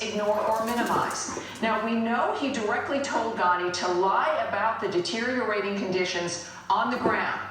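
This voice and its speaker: A woman's voice: a little high, fairly bright tone, slight background noise. American lady political host doing an opinion monologue, accusatory and emphatic, super echoey, bit far from the mic. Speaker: political talk show host.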